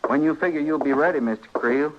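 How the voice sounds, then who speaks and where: An actor playing a polite, eerily persistent frontier man with a mild, folksy, deliberate manner in an old radio western. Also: fairly high pitch, lightly acted. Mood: mild, menacing. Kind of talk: 1950s radio western acting. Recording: dull, hissy old radio recording.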